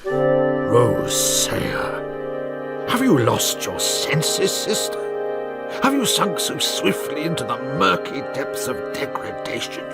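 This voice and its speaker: Stern reproachful preacher figure, gravelly, slow, radio drama. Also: male voice, fairly high pitch, wildly swooping pitch, full character voice. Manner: solemn, admonishing, slow. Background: music.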